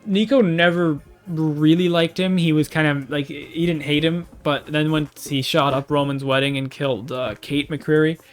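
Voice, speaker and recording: Young male host, casual and halting, smooth tone, light music bed. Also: a little high, steady tone. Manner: rambling, hesitant, many restarts. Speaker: gaming video host. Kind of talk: casual unscripted commentary.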